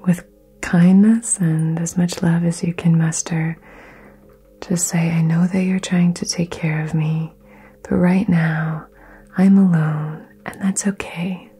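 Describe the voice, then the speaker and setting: A woman whispering soothing affirmations in an ASMR session, soft and gentle. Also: fairly low pitch, little pitch movement, slow pace, slightly rough. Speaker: ASMR host.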